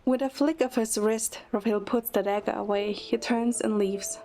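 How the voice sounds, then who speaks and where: A woman's voice: on the high side, fairly even intonation, normal speed, a little hiss or hum. A woman reading a scripted first-person dramatic narration. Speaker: narrator and lead in a fan audio drama. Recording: loud music under the voice. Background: music.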